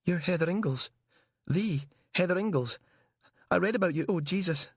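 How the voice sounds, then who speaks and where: A man's voice: high-pitched, normal speed, breathy edge, no echo, studio quality, voice pushed up. A man voicing a panicking male captive, frantic, pleading and sobbing in an audiobook thriller. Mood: anguished, crying. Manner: pleading, terrified.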